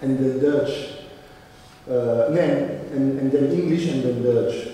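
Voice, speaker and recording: A man whose first language is French, speaking haltingly with many restarts as a panelist at a seminar. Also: medium pitch, warm tone, far from the mic, a little hiss or hum. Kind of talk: discussion answer. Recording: echoey hall, recorded from afar.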